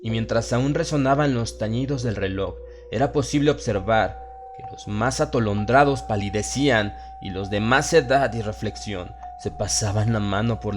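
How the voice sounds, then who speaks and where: A man with a Latin American Spanish accent narrates a horror audiobook in a solemn, measured, dramatic way. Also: fairly low pitch, noisy background. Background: music.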